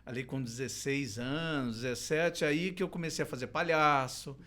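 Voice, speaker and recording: A middle-aged man from Londrina in Brazil talks about his years in theater and clowning. His voice sits at a medium pitch. He hesitates often, restarting phrases and padding them with fillers as he recalls things. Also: moderate pace, pushed and bright. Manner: hesitant, many restarts and fillers, laughs easily. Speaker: guest, clown and theater teacher. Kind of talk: casual storytelling interview.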